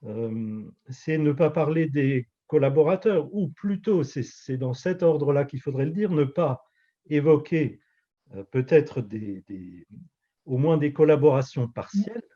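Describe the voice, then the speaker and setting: Measured Alsatian pastor in his fifties. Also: fairly high pitch, lively intonation, highs cut off. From Alsace, France. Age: 50s. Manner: measured, reflective, with frequent hesitations. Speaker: pastor and author. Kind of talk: interview answers.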